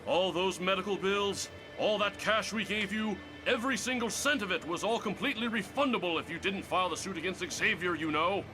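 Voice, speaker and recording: A man's voice: high voice, brisk, over music, close to an everyday voice, rough recording. A man playing a middle-aged American senator in a motion comic, folksy, oily and patronizing. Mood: angry, threatening. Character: folksy, manipulative middle-aged male senator, bullying beneath charm.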